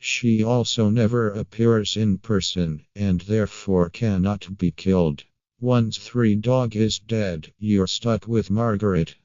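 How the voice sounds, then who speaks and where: A man reading a scripted creepypasta narration in a measured, matter-of-fact way. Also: lowish voice, a little mellow, no room sound, muffled recording.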